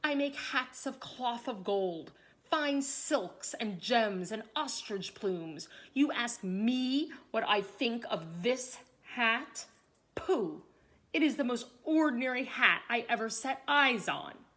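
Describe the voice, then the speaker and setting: A woman's voice: a little high, expressive, takes it slowly, fairly bright tone. A woman voicing a pompous, haughty small male hatmaker in a children's storybook, with scornful, emphatic delivery. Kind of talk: children's storybook read aloud.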